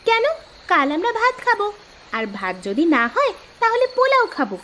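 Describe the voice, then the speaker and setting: Woman acting a carefree bossy sister, high-pitched, breezy and dismissive, cartoon dialogue, slight background noise. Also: huge pitch swings, moderate pace, sharp tone, full character voice. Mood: cheerful. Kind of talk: scripted cartoon story dialogue.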